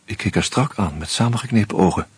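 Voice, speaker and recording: A man narrating a radio drama in the first person, measured and reflective. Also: fairly low pitch, a little hiss or hum.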